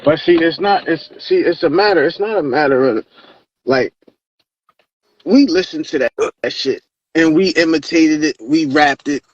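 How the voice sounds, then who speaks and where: A man's voice: on the high side, normal speed, muffled recording. Rambling man in his thirties. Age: 30s. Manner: rambling, repeats words while building a point. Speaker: podcast host. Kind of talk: casual chat.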